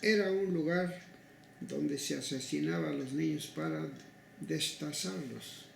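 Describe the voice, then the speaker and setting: An older man with a Mexican accent, recounting past cases in an interview in a slow, halting, deliberate way. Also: a little high, expressive, creaky. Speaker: interviewee, human rights legal advocate. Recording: echoey room with faint noise.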